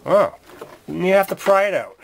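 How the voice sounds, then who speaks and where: A man's voice: high voice, animated, moderate pace. A man giving casual hands-on how-to commentary in a folksy, plainspoken way, as a repair tutorial presenter. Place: garage workshop, at engine bay and workbench. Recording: clearly noisy workshop recording with clicks. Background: noise, tool clicks.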